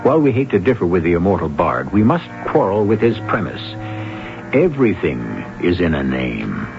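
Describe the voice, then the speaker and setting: A man hosting a 1970s radio mystery drama, talking in a wry, deliberate, theatrical way. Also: deep voice, very animated. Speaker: radio drama host narrator. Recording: lo-fi old radio broadcast with music.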